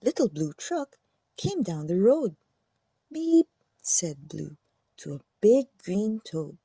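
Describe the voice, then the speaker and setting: A woman narrating a children's picture book aloud with expressive, deliberate phrasing. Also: lowish voice, gravelly, slightly rough, dry recording. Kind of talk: animated storybook read-aloud.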